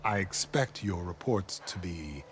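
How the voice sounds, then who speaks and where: A man's voice: deep voice, expressive, a little slow. A man playing a haughty, calculating military overseer in a video game, speaking formally and pompously. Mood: smug, commanding. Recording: music behind the voice.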